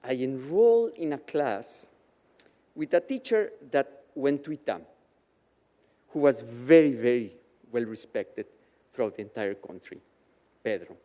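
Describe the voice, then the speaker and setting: A man from Mexico whose first language is Yiddish, telling his personal story slowly and with feeling as a guest speaker at a Shabbat service in a synagogue chapel. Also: medium pitch, animated, warm tone, in a large hall. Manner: slow, measured, emotional storytelling. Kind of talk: personal testimony speech.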